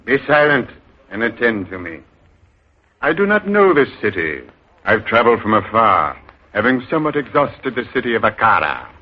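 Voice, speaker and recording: A man's voice: on the low side, big pitch swings, moderate pace. A man playing a cunning older male beggar who commands the others with grand speeches, in 1930s radio drama acting. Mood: commanding. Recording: dull 1930s radio recording.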